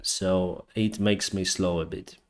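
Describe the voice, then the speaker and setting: Slow-talking man with a Ukrainian accent. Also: sits low, fairly even intonation. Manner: hesitant, halting. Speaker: live stream host, airline pilot. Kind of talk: casual live chat, reading and answering viewer comments.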